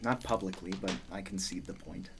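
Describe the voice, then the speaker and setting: A man's voice: deep voice, brisk, a bit bright, slight background noise. A man playing a sceptical, orderly astronomer in a radio play, precise, dry and argumentative. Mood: defensive. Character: John Dee, sceptical, orderly male astronomer-scholar.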